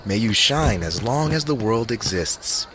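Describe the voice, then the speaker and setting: An actor playing a placid, cult-like companion who offers reassurance in a sing-song way, with noise behind. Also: male voice, lowish voice, expressive, measured pace. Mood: serene, insistent. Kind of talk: scripted dialogue of soothing affirmations.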